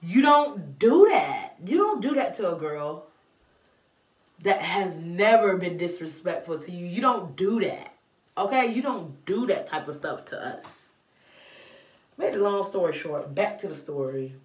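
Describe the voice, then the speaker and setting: A young woman telling a story in a vlog, sassy and animated, filmed by a camera in a small room at home. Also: lowish voice, measured pace, reverberant, recorded from a distance. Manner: animated and sassy, waving her arms. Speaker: vlogger. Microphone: camera in front of her.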